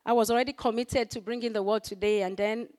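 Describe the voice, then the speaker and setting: A woman with a croaky voice preaching to a church congregation, talking in a conversational way with many fillers and rising in force on scripture. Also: on the high side, fairly even intonation, quick pace, a little mellow. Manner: conversational, peppered with "you know" and fillers; rises in force for scripture and emphasis. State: croaky voice recovering from voice loss. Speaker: guest preacher. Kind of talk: sermon.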